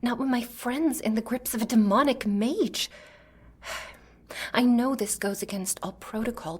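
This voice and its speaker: A fairly high, husky female mage played by an actress speaks with earnest desperation. She is a righteous figure in a fantasy ASMR roleplay, breathy and dramatic, weighed down with anguish. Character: desperate, righteous mage pleading to rescue a captured friend.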